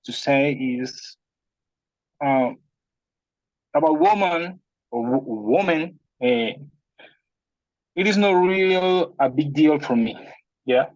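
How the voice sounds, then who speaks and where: A man with a Spanish accent, whose first language is Spanish, shares a personal problem haltingly and searches for his words. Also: fairly high pitch, lively intonation, no echo, dull audio. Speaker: participant sharing a personal problem.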